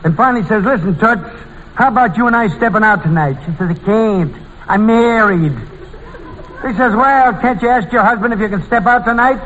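High-voiced man telling vintage radio gags. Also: brisk, a bit bright, some chatter behind. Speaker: comic panelist. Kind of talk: old radio gag telling. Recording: muffled, hissy old radio broadcast.

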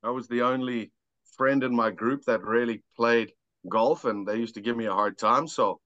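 A man's voice: mid-range voice, steady tone, moderate pace, a bit bright, no echo. A South African-accented man telling personal stories casually as a podcast guest, laid-back and self-deprecating. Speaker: podcast guest, amateur golfer. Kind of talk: casual chat, personal storytelling. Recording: dull lo-fi dry audio.

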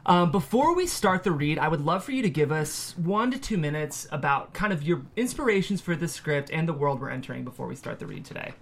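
A man's voice: fairly high pitch, lively intonation, brisk, creaky, few pauses. A man hosting a studio table-read show, presenting and reading out screenplay narration in an upbeat way.

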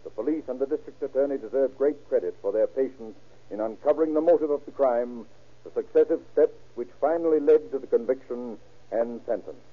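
A man's voice: medium pitch, a little fast. A man narrating an old radio crime show from a script in a solemn, declamatory way. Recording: tinny, band-limited old radio recording.

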